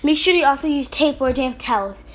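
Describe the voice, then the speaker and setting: Very high-pitched female amateur alert announcer. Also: a little fast. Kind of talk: scripted mock emergency broadcast narration. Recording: clearly noisy amateur recording.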